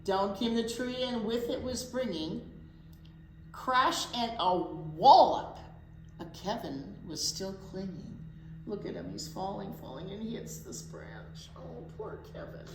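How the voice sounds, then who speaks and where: A woman reading a picture book aloud to young children as a storytime teacher, expressively, with deliberate pauses and little asides. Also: medium pitch, lively intonation. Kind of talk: animated picture book read-aloud for preschoolers. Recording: roomy echo, faint background noise.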